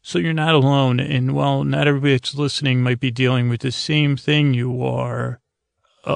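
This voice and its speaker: A man hosting a bedtime podcast in a rambling, halting, goofy monologue, repeating and stammering as he drifts along, with a creaky, gravelly voice. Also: mid-range voice, dry recording, clean studio sound. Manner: goofy, oddball. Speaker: podcast host.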